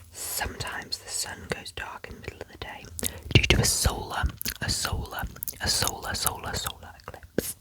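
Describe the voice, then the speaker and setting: Sniffly woman whispering ASMR. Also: rough recording. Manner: soft whisper, repeats words slowly for trigger effect; chatty asides, lightly sarcastic. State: sniffly from a cold or hay fever. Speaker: ASMR creator.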